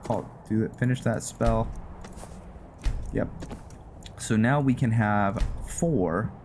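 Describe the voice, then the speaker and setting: A man giving casual gameplay commentary mixed with story narration, halting and thinking aloud as he goes. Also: on the low side, a little mellow, a little hiss or hum. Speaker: host of a gaming roleplay series. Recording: music behind the voice. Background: music.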